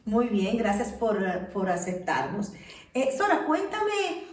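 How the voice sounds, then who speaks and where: A woman hosting an interview, introducing her guest and asking lively questions. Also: on the high side, takes it slowly, echoey room, distant mic. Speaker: interview host. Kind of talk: casual interview, warm introductions. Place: home of the guest.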